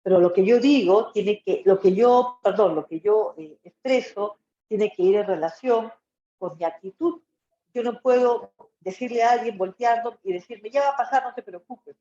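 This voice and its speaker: A woman's voice: medium pitch, a little slow, warm tone. Peruvian woman lecturing in an echoey room. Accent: Peruvian Spanish. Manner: didactic, frequent check-in tag questions. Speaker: psychology lecturer. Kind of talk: informal webinar-style lecture. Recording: echoey room, dull muffled audio.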